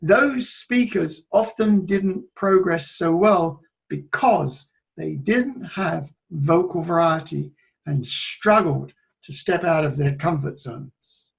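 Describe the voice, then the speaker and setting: A man giving a motivational talk on public speaking, moving between loud and soft delivery as he tells stories. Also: on the high side, slow pace, vocal fry. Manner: shifts between loud and soft, playful with his voice.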